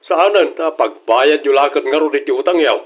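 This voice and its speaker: A man's voice: on the high side, moderate pace, slightly rough, dull audio. A man playing a practical, concerned grown son in an audio drama, questioning and admonishing. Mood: frustrated, reproachful.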